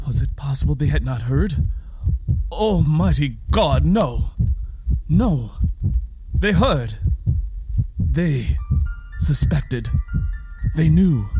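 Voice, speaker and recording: A man's voice: a little high, background noise, voice pushed up. Frantic, guilt-ridden gothic madman. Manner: theatrical, vehement, emphatic repetition. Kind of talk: dramatic first-person gothic story reading.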